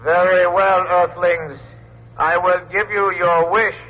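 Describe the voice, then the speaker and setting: Formal alien envoy, vintage radio sci-fi. Higher register, raspy edge, muffled sound. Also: male voice, steady tone, takes it slowly, background noise. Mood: imperious. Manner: stately, formal. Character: alien envoy testing Earthlings, formal and imperious. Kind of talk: old-time radio science fiction acting. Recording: muffled old radio drama recording.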